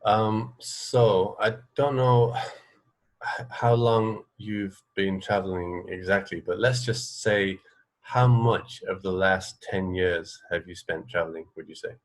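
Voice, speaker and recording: A man's voice: low-pitched, fairly even intonation, slow pace. An English man hosting a casual radio interview, talking in a rambling, hesitant way and often rewording himself. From England. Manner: rambling, hesitant, self-correcting. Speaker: radio show host and interviewer.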